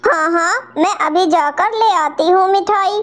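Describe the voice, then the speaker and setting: A woman's voice: very high voice, measured pace, a bit bright. A woman voicing a trusting, gullible female songbird in a children's cartoon, eager and innocent. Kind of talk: Hindi children's cartoon dialogue.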